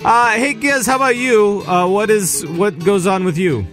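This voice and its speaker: Upbeat podcast host, slightly high voice. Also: male voice, moderate pace, a bit bright, faint music behind. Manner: upbeat, rambling with filler words. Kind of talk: casual podcast chat.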